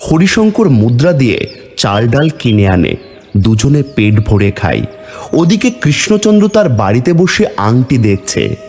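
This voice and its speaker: A male narrator tells a scripted fairy tale. His mid-pitched voice moves expressively, with music running underneath. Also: sing-song, unhurried, darkish tone. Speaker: storyteller narrator.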